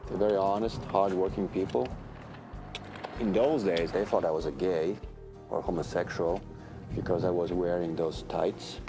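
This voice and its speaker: Latvian guy in his 50s, slowish halting talk, lowish voice, music playing under him. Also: fairly even intonation. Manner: halting, with pauses and restarts. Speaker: athlete recalling a journey. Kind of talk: reflective interview.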